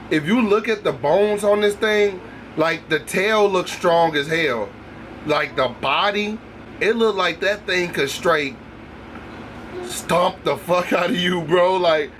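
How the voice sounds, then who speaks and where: A man's voice: high voice, fairly bright tone, slight background noise. A young man hosting a casual reaction video, talking in a loose, slangy, sing-song way and swearing a lot. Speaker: YouTube reaction host.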